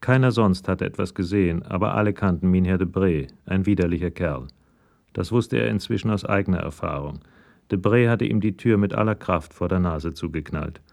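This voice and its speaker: An actor narrating a German crime radio play in a detached, observant, scripted manner. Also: male voice, deep voice, a little fast, gravelly, a little mellow.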